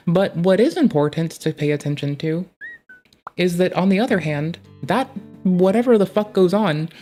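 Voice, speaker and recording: A woman's voice: lowish voice, dark tone. Woman ranting, profane and rambling. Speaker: streamer. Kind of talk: live stream commentary.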